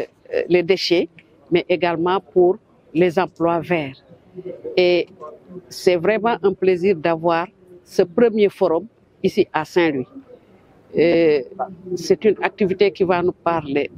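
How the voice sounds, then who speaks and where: A Senegalese woman, an elected official, giving a deliberate and formal press statement. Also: fairly low pitch, dark tone, dull audio. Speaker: elected local official, vice-president.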